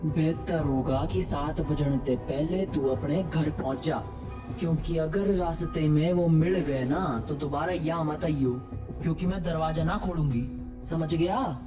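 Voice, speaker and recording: Man playing a slow, crotchety old village woman. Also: on the high side, music in the background, close to an everyday voice. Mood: grumbling, scolding. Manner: crotchety, no-nonsense. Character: blunt, scolding old village tea-stall woman. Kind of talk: Hindi horror audio drama acting.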